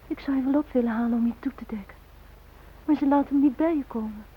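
An actress in a radio drama playing a grieving young woman who speaks haltingly and in anguish to her dead fiancé. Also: high voice, husky. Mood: anguished, pleading. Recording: dull, lo-fi old radio recording.

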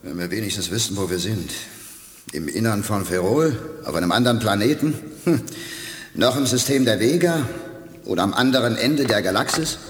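An actor playing a gruff male sidekick who grumbles and doubts in a dry manner. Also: fairly low pitch, lively intonation, normal speed, roomy sound, big-room sound, natural voice. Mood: skeptical.

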